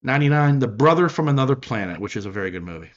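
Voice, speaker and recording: A man's voice: medium pitch, animated, very fast, lo-fi sound. A man in his fifties hosting a casual podcast chat, rambling and wry, poking fun at himself. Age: 50s. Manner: wry, rambling, self-deprecating. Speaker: podcast host.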